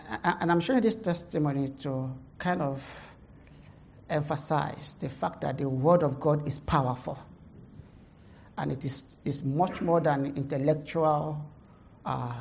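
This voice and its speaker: A middle-aged woman pastor with a heavy Nigerian accent gives a conference testimony, halting, with many fillers, laughing at herself. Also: low voice, creaky, a little mellow, a little hiss or hum.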